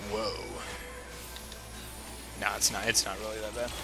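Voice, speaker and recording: A man's voice: fairly low pitch, little pitch movement, a little slow. Sick guy with a cough rambling to his stream viewers, self-mocking, game music going behind him. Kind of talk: casual gameplay livestream chat.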